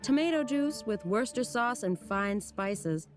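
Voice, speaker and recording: A woman voicing a flirty female barmaid in a game who coaxes her customers with a playful sales pitch. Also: expressive, measured pace, soft background music. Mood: coaxing. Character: flirty female barmaid, weary but eager to please. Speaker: game character. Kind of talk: voiced game dialogue.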